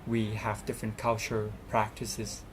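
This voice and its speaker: A man's voice: on the low side, monotone, measured pace, slight background noise. A young man whose first language is Thai, answering interview questions earnestly as a student representative, with many fillers and restarts.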